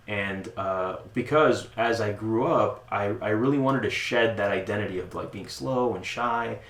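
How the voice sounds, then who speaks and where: Man, interview guest, casual, joking, restarts a lot, lowish voice, echoey room. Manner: relaxed, joking, frequent restarts and fillers. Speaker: interview guest, club founder. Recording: echoey room with faint noise.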